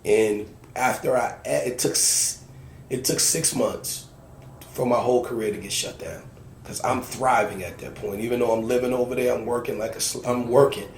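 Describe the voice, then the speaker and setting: A man's voice: medium pitch, moderate pace. A man speaking as a podcast guest, telling his personal story candidly and repeating phrases for emphasis. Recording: echoey room with background noise.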